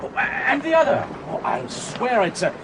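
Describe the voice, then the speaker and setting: A man's voice: very high-pitched, huge pitch swings, moderate pace, gravelly, heavily acted. An actor playing an exuberant, whimsical Frenchman in a radio drama. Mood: wonderstruck. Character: exuberant, whimsical, easily delighted Frenchman at sea. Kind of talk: British radio drama acting. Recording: noisy sea ambience.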